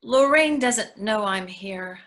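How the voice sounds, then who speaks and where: A woman's voice: medium pitch, animated, takes it slowly, a bit bright. A woman acting an anxious, clingy mother-in-law in a readers theatre play, stammering and pleading as she speaks. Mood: flustered.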